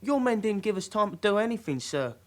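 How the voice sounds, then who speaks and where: A man's voice: sits high, very animated, a little fast. A man playing a baby-faced young suspect in a radio drama, polite, meek and evasive in short defensive answers.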